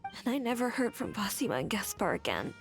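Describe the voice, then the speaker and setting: A woman voicing a grieving young woman who clings to a pleasant dream, speaking softly and wistfully. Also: medium pitch, big pitch swings, brisk. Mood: sorrowful. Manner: soft, wistful, quietly resigned. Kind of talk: game cutscene dialogue. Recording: game audio with music bed.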